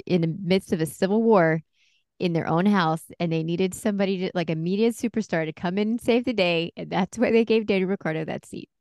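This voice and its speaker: A woman's voice: fairly low pitch, a little fast, a little mellow, dry recording, studio quality. A woman with a Southern American accent co-hosting a podcast in casual, playful chat. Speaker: podcast co-host.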